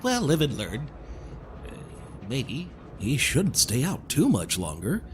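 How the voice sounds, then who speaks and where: Man playing a theatrical, swooping, smooth-talking villain. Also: medium pitch, huge pitch swings, slow pace, a little hiss or hum. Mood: smugly menacing. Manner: theatrical, mock-polite, wordy. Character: smooth-talking, falsely kindly villainous male con man. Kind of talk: scripted audio drama acting.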